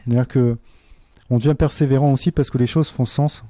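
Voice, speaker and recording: A man's voice: mid-range voice, steady tone, a little fast, warm tone, no echo. A man, a teacher, talking earnestly in a casual interview, with many fillers and restarts. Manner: earnest and reflective.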